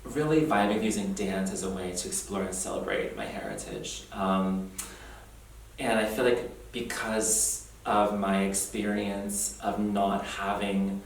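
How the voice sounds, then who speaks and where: A young man reflecting earnestly on his heritage in an oral history interview, thoughtful and quick to laugh. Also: deep voice, vocal fry. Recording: roomy echo, distant mic, faint noise.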